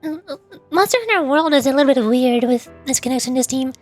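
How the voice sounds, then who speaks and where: A woman's voice: high-pitched, fast talker, soft background music. A young woman streaming a game, chatting casually and rambling with laughs and restarts.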